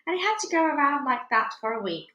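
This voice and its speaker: A woman's voice: high voice, very animated, fast talker, pushed and bright, echoey room, muffled recording, pitched above the performer's own voice, exaggerated voice. A woman voicing a clever little girl who sounds innocent and sly. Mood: sly innocence.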